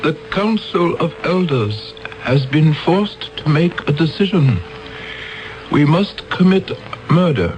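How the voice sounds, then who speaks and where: An actor playing a calm, cold male alien elder who speaks in a measured way in a radio drama. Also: on the high side, highs cut off. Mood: grave.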